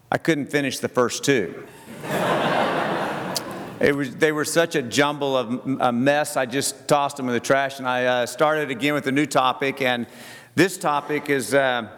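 A man's voice: mid-range voice, steady tone, fairly bright tone. Man in a big echoing hall. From southern United States. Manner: self-deprecating, folksy, halting. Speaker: speaker addressing a church group. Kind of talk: personal talk with stories and Bible reading. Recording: long echoing hall reverb.

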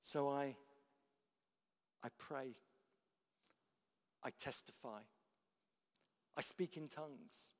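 A man preaching a sermon, swinging from loud declarations down to hushed, quiet lines. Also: medium pitch, extremely slow, pauses often. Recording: long hall reverb.

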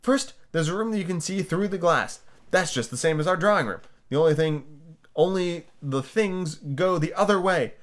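A man's voice: on the high side, moderate pace, pitched above the performer's own voice, natural voice. A man voicing a prim, chatty little girl who scolds and lectures her kitten, in a raised sing-song voice. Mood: musing. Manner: fussy, lecturing, lively and whimsical. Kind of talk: amateur reading of a children's book.

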